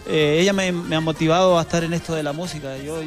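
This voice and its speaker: A man in his thirties with a Chilean accent, a guest singer chatting earnestly on a TV studio set into a handheld mic, with some halting restarts. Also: a little high, steady tone, over music, a crowd in the background. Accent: Chilean Spanish. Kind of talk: casual chat.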